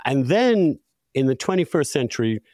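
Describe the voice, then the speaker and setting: A man's voice: mid-range voice, sing-song, moderate pace, darkish tone, no room sound, clean studio sound. A middle-aged or older man hosting a conversational interview, musing emphatically and offering his own opinions. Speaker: podcast host, interviewer.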